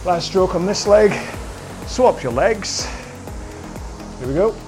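A man coaching a rowing workout, calling out cues in an upbeat, jokey way, his voice slightly hoarse. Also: high voice, lively intonation, takes it slowly. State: a bit hoarse. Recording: music playing behind. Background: workout music.

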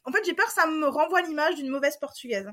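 A woman's voice: high-pitched, fast talker, a bit bright. A young woman from France sharing a personal story as a podcast guest, talking in a hesitant way with many fillers and restarts. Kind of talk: casual personal testimony.

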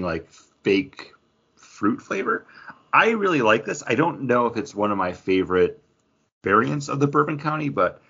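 A man with a fairly low but bright, forceful voice co-hosts a podcast. He talks casually, as if reviewing a drink among friends. The recording is slightly dull and lo-fi. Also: slightly rough. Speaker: podcast co-host. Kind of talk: casual chat, craft beer review. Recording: dull lo-fi audio.

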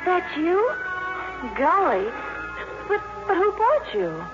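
A woman playing an excitable, gushing, naive teenage daughter in a 1950s radio drama. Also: very high voice, takes it slowly, exaggerated voice. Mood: astonished, sympathetic. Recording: muffled old radio recording, loud music.

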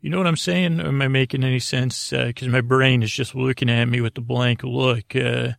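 A middle-aged man with a creaky, gravelly voice hosts a sleep podcast, rambling in a halting, meandering bedtime monologue that keeps interrupting itself. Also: mid-range voice, steady tone, no echo, clean studio sound. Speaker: podcast host.

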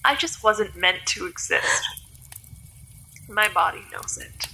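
A woman's voice: mid-range voice, takes it slowly, gravelly, bright, forceful tone, full character voice, low-quality recording. A woman playing an ancient, sickly vampire-elf hybrid in an audio drama, guarded, wry and resigned in her delivery. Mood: resigned, sad.

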